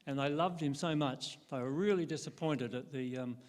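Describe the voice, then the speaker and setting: A man's voice: mid-range voice, moderate pace, darkish tone. An older man who hosts a church stage interview as local pastor, teasing and friendly, with frequent ums. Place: church stage before a congregation.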